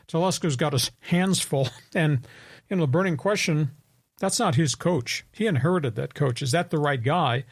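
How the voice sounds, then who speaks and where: Gravelly older man, sports radio host. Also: a little high, no echo, studio quality. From New York. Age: 70s. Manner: storytelling, opinionated, rambling with restarts. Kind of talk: sports talk radio chat.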